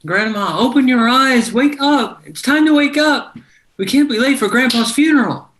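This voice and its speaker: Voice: high-pitched, moderate pace, fairly bright tone. An actor reading a defiant young ranch heir at a screenplay table read, moving from pleading to fierce commands.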